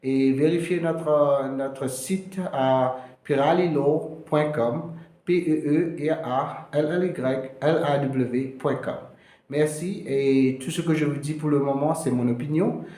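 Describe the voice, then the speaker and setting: A man from Mauritius, a lawyer explaining to camera in a halting way, full of fillers and English terms. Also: on the high side, steady tone, warm tone, roomy sound. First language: Mauritian Creole. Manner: hesitant, many fillers, slips into English terms. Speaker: immigration lawyer.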